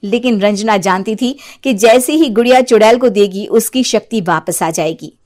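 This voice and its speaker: A woman's voice: medium pitch, normal speed, darkish tone. A woman reading scripted storybook narration as the narrator of a tale.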